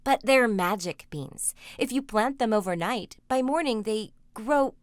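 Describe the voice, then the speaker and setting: A woman voicing a cheeky, eager young boy who speaks politely and brightly in a storybook reading. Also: fairly high pitch, expressive, unhurried, dry recording, studio quality. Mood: pleading.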